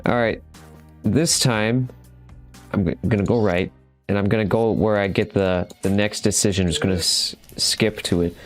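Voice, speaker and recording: A man giving casual, halting playthrough commentary as the host of a gaming video, rambling as he goes. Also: fairly low pitch, fairly even intonation, soft background music.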